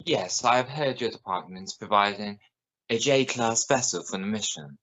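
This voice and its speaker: Measured, serious radio news anchor, played by a man. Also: fairly low pitch, lively intonation, pushed and bright, dull audio. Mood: probing, serious. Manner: formal, measured, probing. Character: serious, probing male evening news reporter of the future. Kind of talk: scripted radio news broadcast in a drama.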